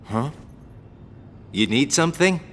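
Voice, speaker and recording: A man's voice: expressive, takes it slowly, fairly bright tone. A man voicing a cool, wry, blunt best friend in a game cutscene, with a laid-back, knowing delivery. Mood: wry, probing. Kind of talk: dubbed game cutscene acting. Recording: reverberant hall, faint rumbling noise.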